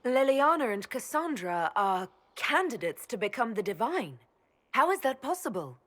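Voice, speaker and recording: A woman's voice: a little high, very animated, moderate pace, fairly bright tone. A woman playing a courteous, composed leader in a video game, speaking politely and directly. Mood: polite, curious. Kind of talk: video game dialogue acting.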